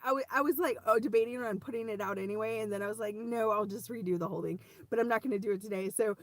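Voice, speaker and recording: A woman with a slightly high voice, hoarse and wearing thin, hosting a relaxed faith livestream for her viewers. She rambles, restarts her sentences often and leans on "you know.". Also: very fast. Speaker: ministry host speaking to viewers. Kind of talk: casual faith talk on a livestream.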